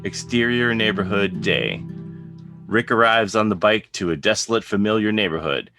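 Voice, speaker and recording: A man's voice: fairly low pitch, normal speed. A man narrating a script, reading out scene headings and stage directions as a narrator. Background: guitar music.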